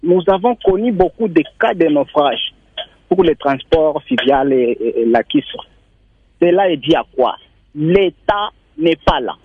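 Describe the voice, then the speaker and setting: A young man from Maniema calling in to a radio show, complaining in a halting way and repeating words. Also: fairly high pitch, expressive, husky, dry recording, narrow, tinny audio. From Maniema, Congo. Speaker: radio caller. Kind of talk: call-in comment.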